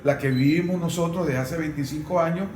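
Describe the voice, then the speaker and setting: A Venezuelan man speaking as a panelist in an unscripted political discussion, explaining in a halting way. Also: on the high side, a little mellow. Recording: roomy, distant microphone.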